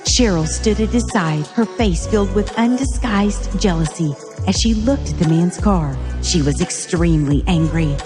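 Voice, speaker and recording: A woman's voice: lowish voice, moderate pace, few pauses. Woman narrator, reverberant, over music. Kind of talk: dramatic scripted narration.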